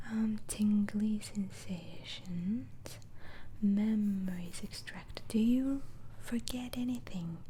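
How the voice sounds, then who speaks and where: A woman whispering in an ASMR roleplay as a doctor interviewing a patient, hesitantly asking questions and repeating answers back in a breathy voice. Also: mid-range voice, warm tone, a little hiss or hum, low-quality recording. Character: gentle, inquisitive female mental asylum doctor.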